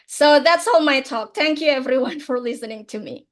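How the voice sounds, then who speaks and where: A woman's voice: high-pitched, moderate pace. A woman with an Indonesian accent giving a passionate academic conference talk in English, often ending points with "right?", heard over a remote call. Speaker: conference speaker.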